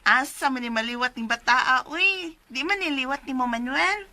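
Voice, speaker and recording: An actress with a Cebuano Filipino accent playing a chatty, teasing family friend in a radio drama. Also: female voice, high voice, expressive, moderate pace, pushed and bright, exaggerated voice. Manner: playful, teasing.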